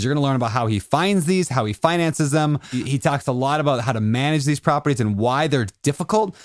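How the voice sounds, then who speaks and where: Fast-talking, joking male podcast host. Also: mid-range voice, no echo, clean studio sound. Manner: joking, chatty, quick to laugh. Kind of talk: casual interview chat.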